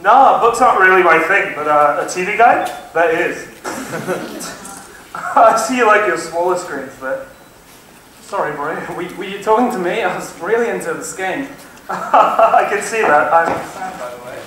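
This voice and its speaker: A man's voice: high voice, expressive, moderate pace, pushed and bright, distant mic, a little hiss or hum. Young man in a very echoey hall. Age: young adult. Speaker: student actor in a stage skit. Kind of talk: amateur stage play dialogue. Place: large stage hall. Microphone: far stage microphones.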